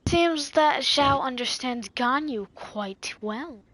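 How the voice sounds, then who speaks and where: A woman voicing a tiny floating fairy girl companion, chirpy and nosy, with a squeaky game voice. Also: expressive, slow, dry recording, full character voice. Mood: musing. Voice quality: high, squeaky and bouncy. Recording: loud game music behind.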